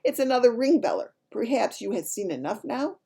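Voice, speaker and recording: A woman's voice: on the high side, big pitch swings, fairly quick, creaky, mellow, breathy edge, pitched above the performer's own voice, full character voice. A woman voicing a good-humored male storybook giant who speaks emphatically in quaint, muddled words.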